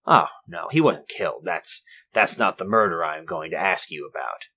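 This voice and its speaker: A man playing an uneasy, formal inventor in a retro radio drama, speaking in a halting, protesting, confessional way. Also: deep voice, expressive, bright, forceful tone, husky, full character voice. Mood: uneasy, confiding. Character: uneasy, formal male inventor confessing his story to a listener.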